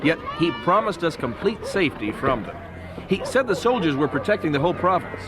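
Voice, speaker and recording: A man playing a devout, resolute young missionary husband in a radio drama, earnest and gentle. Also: on the high side, very animated, brisk, noisy background. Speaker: voice actor in an audio drama. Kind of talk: radio drama dialogue acting.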